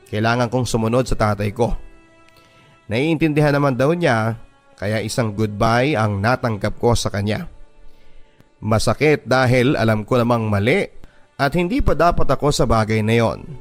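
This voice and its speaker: A man narrating a letter in the first person as a scripted radio drama, with music behind him. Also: mid-range voice.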